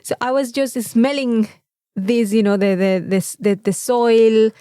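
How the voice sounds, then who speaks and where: A woman chatting casually as a podcast co-host, animated and free with swearing. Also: on the high side, measured pace, smooth, dry recording, clean studio sound. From Mexico. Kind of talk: casual chat.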